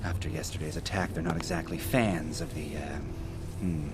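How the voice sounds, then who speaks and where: An actor playing a chatty, jittery, defensive forensic investigator in a video game, excitable and rambling with hesitations. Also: male voice, very deep voice, normal speed, noisy background. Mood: earnest, conspiratorial. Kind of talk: video game cutscene acting.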